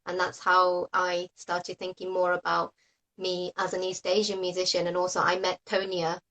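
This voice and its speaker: A Japanese woman in her mid-thirties hosts a relaxed online chat over a video call. She talks in English in a friendly, upbeat way, laughing easily and quick to praise her guest. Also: fairly low pitch, poor audio. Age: 30s. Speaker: host, oboist. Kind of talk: casual online interview.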